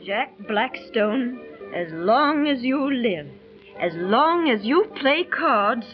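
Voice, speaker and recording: Here is a vengeful young woman in an old radio drama, her high voice swooping wildly as she lingers over each line. The sound is muffled, like a worn 1930s transcription disc. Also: expressive, slow pace, faint music behind. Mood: hysterical. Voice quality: theatrical, sobbing and shrieking in fury.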